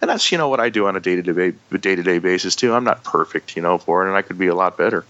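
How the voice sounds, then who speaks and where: A man's voice: low-pitched, lively intonation, fast, a bit bright, no room sound, highs cut off. A man with a northern American accent, a guest in a casual interview, talking in a rambling way with many fillers and restarts. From northern United States. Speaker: podcast guest.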